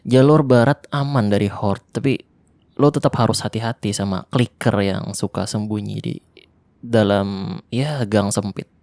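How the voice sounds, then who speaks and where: A man voicing a lonely young male survivor of a zombie apocalypse in an ASMR roleplay, talking softly and intimately in casual Jakarta slang, close to the microphone. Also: fairly low pitch, a little slow, no room sound. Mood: hushed. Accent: Jakarta colloquial Indonesian.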